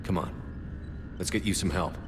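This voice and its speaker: A man playing a steady, decisive male military commander with a clipped, commanding delivery. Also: low-pitched, normal speed, lightly acted. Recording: reverb and clear noise.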